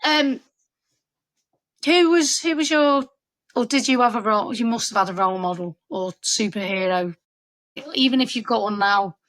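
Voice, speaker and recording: A woman's voice: fairly high pitch, normal speed, long pauses, a bit bright. A woman with a Scouse accent hosting a podcast interview, chatty and encouraging, often restarting her sentences. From Liverpool area. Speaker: podcast host.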